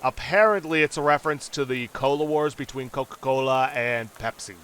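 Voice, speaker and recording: A man's voice: mid-range voice, brisk, fairly bright tone, a little hiss or hum. A man hosting a let's play, casually and wryly commenting and reading game text aloud.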